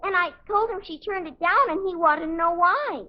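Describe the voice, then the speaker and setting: A woman's voice: very high voice, normal speed. An actress playing a chirpy, literal-minded little girl who earnestly recites things in a 1950s radio sitcom. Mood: eager, matter-of-fact. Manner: earnest, recites facts primly. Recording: muffled old radio sitcom recording.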